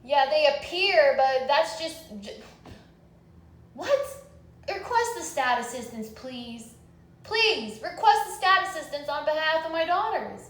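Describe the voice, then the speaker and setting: A young woman calls to complain, and her high voice carries clear exasperation. She is insistent and confrontational, repeating what she wants. The recording sounds roomy and echoing. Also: big pitch swings, measured pace, fairly bright tone. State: upset, exasperated. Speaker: caller. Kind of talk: phone complaint call.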